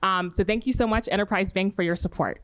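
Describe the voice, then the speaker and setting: A woman hosts an arts interview series, reading out sponsor thanks and a guest introduction. Her delivery is friendly, with a few hesitant fillers here and there. Her voice sits at a middle pitch. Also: fairly even intonation, quick pace. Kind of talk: reading introductions and asking questions.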